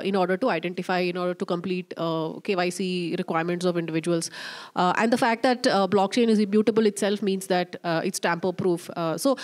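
A woman in her thirties moderating a conference panel, explaining at length with many fillers, into a handheld mic. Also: on the low side. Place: conference stage, indoors. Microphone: handheld microphone.

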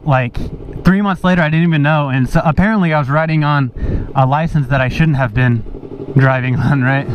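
A young man riding a motorbike through the city, telling a rambling story as he rides. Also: a little high. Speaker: motovlogger. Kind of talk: casual riding commentary. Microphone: helmet-mounted action camera. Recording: muffled, with loud wind and traffic noise. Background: wind and traffic.

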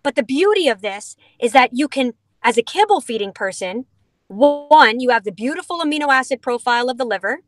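A woman's voice: high-pitched, animated, fairly quick, music in the background. Chatty middle-aged woman on a video call. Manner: enthusiastic, chatty, with playful asides. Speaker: dog food company representative. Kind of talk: product explanation in a video call. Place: inside a vehicle.

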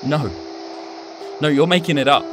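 A young actor playing a confused, panicky student ghost in a radio drama, halting, agitated and disbelieving. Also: mid-range voice, over music, close to an everyday voice. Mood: panicked denial.

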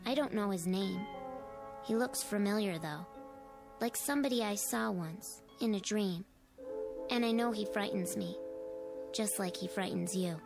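A woman playing a calm, insistent, knowing little girl in a radio drama. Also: medium pitch, a little slow, pauses often, natural voice. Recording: music under the voice.

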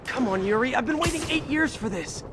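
An actor with an American accent playing a young, wisecracking, upbeat masked superhero in a video game. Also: male voice, extremely high pitch, expressive, brisk. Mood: eager. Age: young adult. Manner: quippy, playful. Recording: loud game music over voice.

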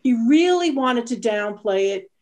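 Female panelist, slightly high voice, informal explanatory talk over a video call. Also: animated, a little slow, a little mellow.

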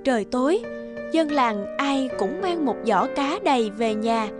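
A woman's voice: sits high, animated, measured pace, music in the background. A woman reading scripted narration for a children's fairy tale.

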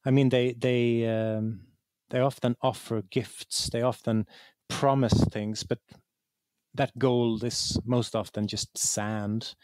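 A man's voice: mid-range voice, level tone, takes it slowly, a little mellow, no echo. A man with a Swedish accent explaining things conversationally as an interview guest, repeating words as he searches for phrasing. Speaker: interview guest, game writer.

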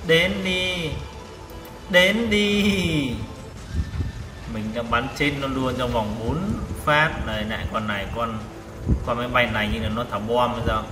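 A man's voice: mid-range voice, fairly even intonation, measured pace. A man giving live gameplay commentary, exclaiming and repeating short phrases as he plays. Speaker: gaming vlogger playing a tank game. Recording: distant mic, echoey reverberant room. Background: loud game music.

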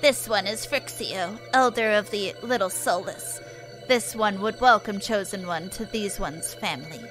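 A woman voicing a small elder sylph, gentle and solemn, speaking ceremoniously in a fantasy game. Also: mid-range voice, unhurried, music in the background, close to an everyday voice, rough recording. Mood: solemn, moved. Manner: ceremonious third-person speech.

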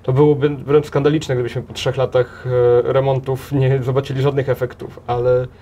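A man in his thirties answering questions in a studio interview, talking in halting runs with many restarts and repeated words, recorded on a table mic in a small TV studio. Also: medium pitch, level tone, mellow, lo-fi sound. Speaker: interview guest, head of a transport association.

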